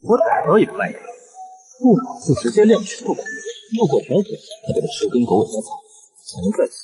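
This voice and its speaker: A man playing an unruffled young hero who tells his own story in an animated web drama, in a deadpan, sardonic manner. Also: high-pitched, fairly quick, husky, full character voice, low-quality recording. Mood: cocky, wry. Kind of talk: first-person narration in animated web drama. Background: music.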